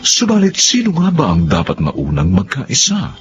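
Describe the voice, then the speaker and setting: A man's voice: lowish voice, wildly swooping pitch, normal speed. A man announcing a radio drama in a solemn, theatrical scripted narration. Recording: dull recording under loud music. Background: music.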